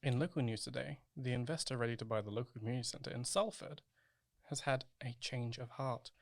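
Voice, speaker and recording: Creaky-voiced male local news presenter, acted. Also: medium pitch, brisk, faint music behind, close to an everyday voice. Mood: composed, upbeat. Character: polite, composed local radio news presenter. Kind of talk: scripted local news reading in amateur radio play.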